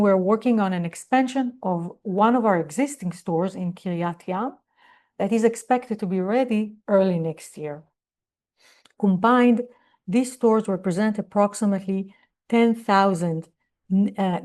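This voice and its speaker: A woman company executive presenting on an earnings call in a formal, measured business manner. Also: mid-range voice, warm tone.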